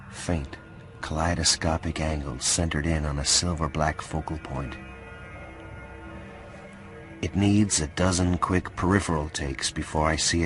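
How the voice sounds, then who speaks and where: An actor playing a watchful, brooding hacker who narrates a radio drama in a hushed, terse, intense first person. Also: male voice, very deep voice.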